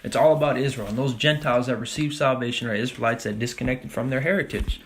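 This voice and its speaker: A man teaching a Bible study, talking casually with frequent "all right?" and reading scripture passages slowly and deliberately. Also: mid-range voice. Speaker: religious teacher.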